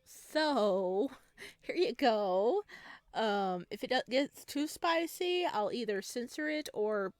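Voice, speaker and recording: A woman plays through a visual novel, reading narration and chatting with viewers between giggles. Her voice is higher and unhurried. The recording is dry and close. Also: lively intonation, dry recording. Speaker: game streamer.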